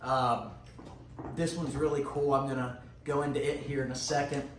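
A man's voice: medium pitch, steady tone. Middle-aged man with noticeable background noise. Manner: halting, pausing mid-sentence. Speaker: retro computer vlogger. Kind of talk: casual unscripted show-and-tell. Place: dining room at home, indoors.

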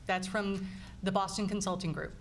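A woman in her forties delivers an earnest, upbeat campaign speech at a candidate forum. She talks into a table mic in a meeting room with a small audience, with a faint hiss in the background. Her pitch sits in the middle range for a woman. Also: fairly even intonation, normal speed. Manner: earnest, upbeat, emphatic lists. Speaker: political candidate.

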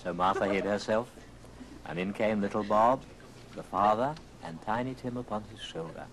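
A man narrating a dramatic story in a theatrical, measured old radio style. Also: on the low side, noisy background. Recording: old record, music behind.

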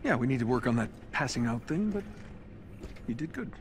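An actor playing a gentle, awkward male mentor in a video game, soft-spoken, halting and sincere. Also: medium pitch, very animated, close to an everyday voice. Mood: gentle concern. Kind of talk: cinematic video game acting. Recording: clearly noisy ambient background.

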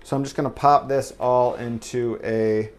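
A man's voice: medium pitch, fairly even intonation, normal speed. A young man hosting a casual cooking tutorial, talking in a loose, upbeat way as he works. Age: young adult. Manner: chatty, loose, upbeat, says "like" and "you know" often. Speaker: home cooking channel host. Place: small home kitchen. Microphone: camera mic at a distance, moving around while cooking.

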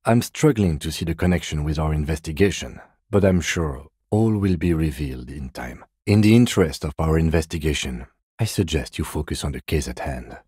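Male game detective: very low, mellow, dry and measured, weary of nonsense, formal and by the book. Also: breathy edge, dry recording, exaggerated voice. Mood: dry, composed. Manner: measured, restrained, deadpan, formal. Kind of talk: video game voice acting.

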